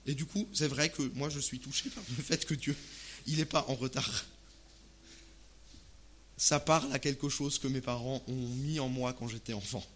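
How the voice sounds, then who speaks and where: A man from Alsace preaching a sermon in casual, expressive, conversational language, often asking rhetorical questions. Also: medium pitch, normal speed, long pauses, a little hiss or hum. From Alsace, France. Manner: expressive, uses rhetorical questions and acted-out asides.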